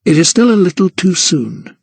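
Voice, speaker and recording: A man's voice: high voice, sing-song, normal speed, creaky, darkish tone, breathy edge, no echo, voice pushed up, full character voice. Man voicing a polite young widowed lady. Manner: polite, restrained.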